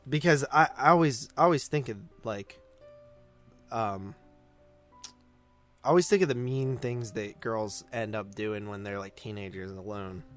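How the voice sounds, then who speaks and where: A man's voice: fairly low pitch, normal speed, long pauses, faint music behind. A man co-hosting a podcast, chatting casually about movies in loose, conversational phrasing that often circles back on itself. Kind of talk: casual film review chat.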